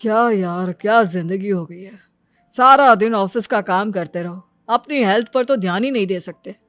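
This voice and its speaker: A woman's voice: mid-range voice, normal speed, a bit bright, dry recording, faint music behind, pitched below the performer's own voice, lightly acted. A woman voicing a young husband, an easygoing office worker, casual and mildly grumbling in a cartoon story. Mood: weary, grumbling. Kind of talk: Hindi cartoon story acting.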